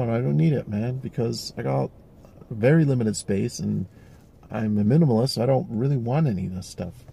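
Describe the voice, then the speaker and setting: A man's voice: fairly low pitch, gravelly, mellow, breathy edge. A man in his forties talking casually and reflectively to camera, rambling with many fillers and restarts. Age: 40s. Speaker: vlogger.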